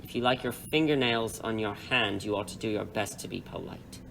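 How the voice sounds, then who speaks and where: A man playing a menacing crime boss in an audio drama, with a mockingly polite, formal, unhurried manner. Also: fairly low pitch, creaky, a bit bright, slight background noise, rough recording. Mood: cool threat. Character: suave, menacing male crime boss interrogating captives.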